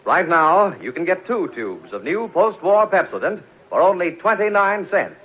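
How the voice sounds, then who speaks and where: A man's voice: sits high, sing-song, moderate pace, husky. A man reading a commercial as a 1940s radio announcer. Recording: muffled old radio broadcast.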